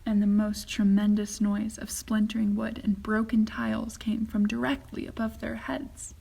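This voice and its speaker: A woman reading a children's story aloud as a teacher would, with expressive, deliberate narration. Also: mid-range voice, creaky, slightly rough.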